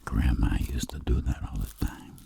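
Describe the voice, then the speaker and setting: A man voicing a boastful, roguish tingle-dealing world traveler in a whispered ASMR roleplay, telling rambling deadpan tales in a breathy, gravelly voice. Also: rumbling low, moderate pace, exaggerated voice. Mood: boastful, playful.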